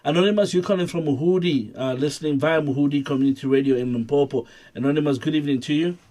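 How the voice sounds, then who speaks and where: A man's voice: a little high, mellow. A male South African radio show host with a cold, sounding sniffly, chatting with callers in a studio. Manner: chatty, fast talking. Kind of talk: radio call-in show, code-switching.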